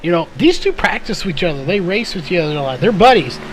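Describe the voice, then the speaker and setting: A man doing live race commentary in a conversational, analytical way, his voice a little hoarse. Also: sits high, big pitch swings, quick pace, slight background noise, poor audio. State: slightly hoarse, losing his voice. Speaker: sim or short-track race play-by-play commentator.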